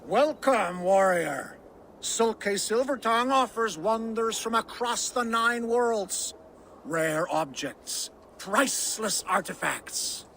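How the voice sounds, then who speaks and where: A sly, cowardly merchant with a very high, bright voice, full of wheedling patter and begging. It is a video game cutscene with faint background noise. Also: male voice, animated, slow pace, vocal fry, full character voice. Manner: wheedling, pleading salesman patter. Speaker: merchant character.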